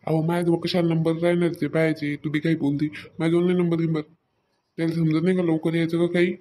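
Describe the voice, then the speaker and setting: A man's voice: a little high, level tone, quick pace, mellow, slight background noise, natural voice. A man playing a grumbling, stingy village farmer husband in a comedy cartoon, with a rural Maharashtra Marathi accent and a nagging manner. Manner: nagging, complaining.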